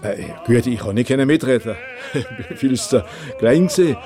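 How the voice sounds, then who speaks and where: An actor playing an older count, modest and amused, who reminisces in a colloquial, halting way. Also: male voice, mid-range voice, lively intonation, dark tone, soft background music, lightly acted. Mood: reflective.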